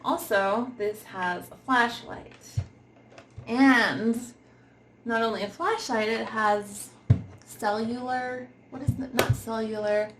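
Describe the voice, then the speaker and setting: A woman with a fairly high voice talks through a product review in a casual, unscripted way. She is informal and giggly, hesitating as she hunts for words. There is some background noise on the amateur recording. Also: takes it slowly.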